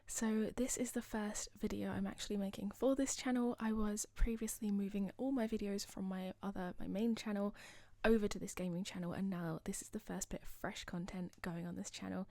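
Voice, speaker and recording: A young woman talks over her game footage in a loose, rambling way, cutting in with little self-deprecating asides. Her voice is slightly husky, as if she is losing it, and it sits at a middling pitch. The recording is close and dry, with no room sound. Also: steady tone, fairly quick, few pauses, mellow, dry recording. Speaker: gaming channel host. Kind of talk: casual voice-over commentary.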